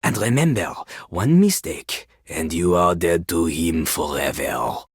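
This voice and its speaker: A woman's voice: rumbling low, lively intonation, a little slow, slightly rough, dry recording, studio quality, exaggerated voice. A woman voicing a vain, scornful French-accented toilet who fancies himself a rap master, in a theatrical video game performance with a pompous, dismissive manner. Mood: pompous, demanding. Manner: pompous, speaks of himself in third person, dismissive.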